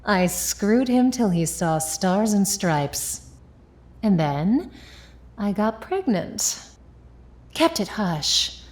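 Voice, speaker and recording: An actress playing an ambitious, hard-boiled young woman of the 1950s in a vintage audio drama, speaking deliberately and defiantly. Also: mid-range voice, sing-song. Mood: wry, defiant, then hushed, grave.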